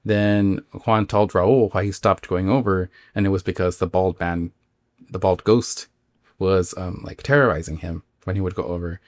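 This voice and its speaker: A man who hosts a podcast, telling a story casually in an informal, halting way full of filler words. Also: low voice, steady tone, dry recording, clean studio sound. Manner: informal, halting, filler-heavy with acted-out quotes. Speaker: podcast host.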